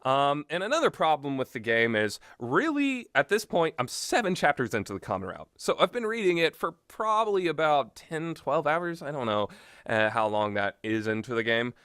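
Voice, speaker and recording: A man's voice: a little high, big pitch swings, moderate pace, fairly bright tone, smooth. Guy rambling his opinions casually, emphatic, voice goes up and down a lot, dry sound no room echo. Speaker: video host. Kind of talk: casual rambling opinion commentary on visual novels.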